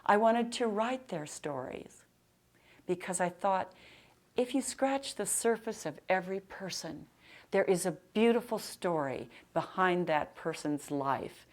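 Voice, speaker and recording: An older American woman with a loud, projecting voice, giving an author's talk and reading in an emphatic storytelling manner. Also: fairly low pitch, expressive, measured pace. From Nebraska, United States. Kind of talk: public talk with anecdotes and book reading. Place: live talk to a library audience in a room.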